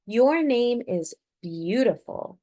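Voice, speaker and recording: A woman's voice: fairly high pitch, lively intonation, takes it slowly, dark tone, voice pushed up. A woman voicing a doting, reassuring mother in a storybook, gushing and emphatic.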